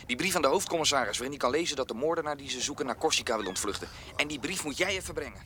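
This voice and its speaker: Radio drama: man as impatient scheming informer, quick and scornful, clear background noise. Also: medium pitch, animated, natural voice. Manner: brisk, scornful.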